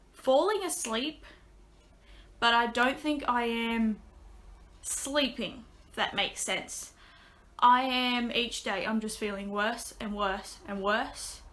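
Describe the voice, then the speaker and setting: Chatty young woman with a bright voice. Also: a little high, slow pace, long pauses. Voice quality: slightly raspy. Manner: chatty, many ums and restarts. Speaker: vlogger. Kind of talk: casual vlog update. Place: small bedroom, indoors. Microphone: webcam at desk.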